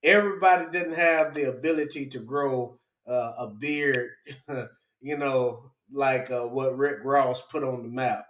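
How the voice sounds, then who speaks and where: A man's voice: medium pitch, steady tone, a little slow, fairly bright tone. A man hosting a casual livestream, chatty and jokey, poking fun at himself. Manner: jokey, self-deprecating, chatty. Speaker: live stream host. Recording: roomy, echoing livestream audio.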